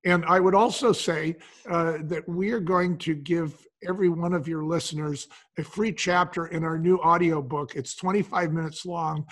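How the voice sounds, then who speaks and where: Male business founder as podcast guest, husky, fairly high-pitched, explanatory and conversational. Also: steady tone, moderate pace, few pauses. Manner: explanatory, acts out imagined quotes. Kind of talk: conversational interview talk.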